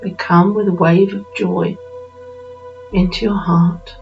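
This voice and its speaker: A woman narrating a guided meditation in a slow, soothing manner with unhurried pauses. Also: fairly low pitch, flat delivery, creaky. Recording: dull lo-fi recording with music behind.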